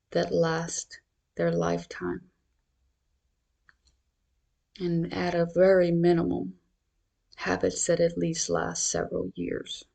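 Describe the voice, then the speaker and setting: A meditation guide speaking in a measured, reassuring, instructional manner. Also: mid-range voice, vocal fry, warm tone.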